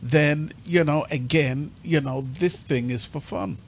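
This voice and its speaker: A male host of a talk panel, speaking in a steady, measured way and affirming others often. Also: a little high, creaky. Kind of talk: casual panel discussion.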